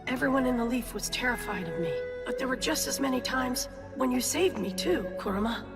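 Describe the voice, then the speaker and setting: A woman voicing a grown male ninja hero in a dubbed anime, earnest and sentimental with a husky boyish tone. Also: high voice, animated, a little fast. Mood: nostalgic, tender. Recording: reverberant, music underneath.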